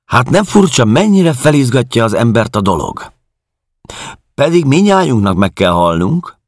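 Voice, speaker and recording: A man's voice: mid-range voice, very animated, dry recording, clean studio sound, voice pushed up. A man voicing a chatty, matter-of-fact female caretaker in an audiobook. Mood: brisk, unsentimental. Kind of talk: audiobook character dialogue.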